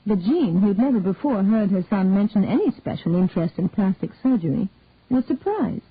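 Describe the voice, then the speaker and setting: A woman reads a detective story aloud at a measured storytelling pace, her voice slightly husky and mid-pitched. The recording is narrow and phone-like, and dry with no room sound. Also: no room sound. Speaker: narrator. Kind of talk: scripted story narration.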